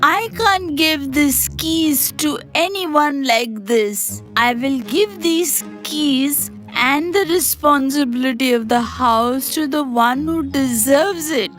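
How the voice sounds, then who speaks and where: A woman's voice: high voice, a bit bright. A woman playing a bossy, stern older mother-in-law who scolds and demands in a cartoon moral story. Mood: resolute. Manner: scolding, slow, deliberate delivery. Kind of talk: animated moral story dialogue. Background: music.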